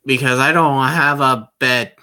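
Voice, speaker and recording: A man's voice: medium pitch, fairly even intonation, measured pace, pushed and bright. A man casually reading a visual novel aloud, throwing in joking, swearing asides. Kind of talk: casual let's-play narration.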